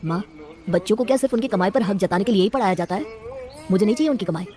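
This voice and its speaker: A woman acts out a devoted middle-aged father in a cartoon family tale, speaking extremely fast at a medium pitch. He is earnest and firm, protesting on principle, with faint music behind him. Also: dark tone, close to an everyday voice. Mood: earnest, reasoning.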